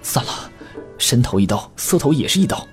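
A man's voice: mid-range voice, very animated, fairly quick, close to an everyday voice. A man playing a flustered, secretly smitten male shop owner in an audio drama, sighing and muttering to himself. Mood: wistful, grumbling. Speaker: voice actor in an amateur radio drama. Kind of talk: Chinese audio drama acting. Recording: music behind the voice. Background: background music.

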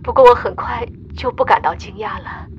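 A woman's voice: fairly high pitch, a little fast, dull audio, a little hiss or hum, close to an everyday voice. A woman playing a tender, emotional mother speaking heartfelt words to her child in a radio drama voice message. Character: tender, emotional astronaut mother addressing her young daughter.

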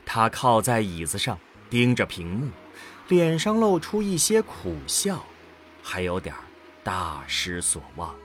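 A man's voice: medium pitch, wildly swooping pitch, measured pace, fairly bright tone, a little hiss or hum. A man narrating a scripted science-fiction audio drama in a dramatic storytelling style. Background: faint background music.

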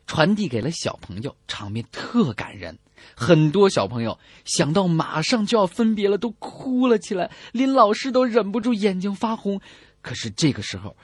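A woman's voice: fairly low pitch, breathy edge, dry recording. Female comedy radio co-host, very varied intonation, playful joke-teller, dry studio. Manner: animated, teasing, breaks into song. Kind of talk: playful scripted joke-telling banter.

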